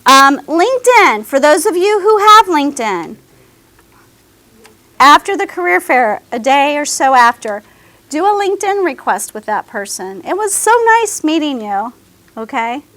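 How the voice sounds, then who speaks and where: A woman's voice: sits high, big pitch swings, measured pace, bright, forceful tone, dry recording. A woman giving a career services presentation to a class of students, talking to them directly and often checking in with "okay?". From American South.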